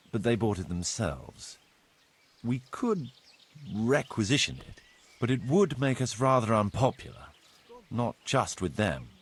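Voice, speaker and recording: An actor playing a grave, pessimistic medieval steward who speaks formally in a video game. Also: male voice, lowish voice, slightly rough, exaggerated voice. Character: male medieval steward bringing counsel, gloomy and pessimistic. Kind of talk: video game cutscene acting.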